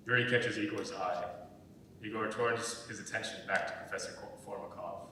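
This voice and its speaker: Low-voiced male narrator, big echoey hall. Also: fairly even intonation, normal speed, bright, forceful tone. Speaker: narrator reading stage directions. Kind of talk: scripted narration. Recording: echoey hall, distant mic, faint rumble.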